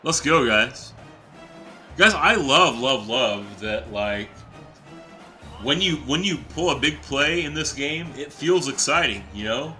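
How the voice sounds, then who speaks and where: A young adult man, a teacher by day, giving casual, excitable live-stream commentary while playing a football video game and chatting with viewers. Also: mid-range voice, takes it slowly, soft background music. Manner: excitable, chatty, reacts to plays and reads chat aloud.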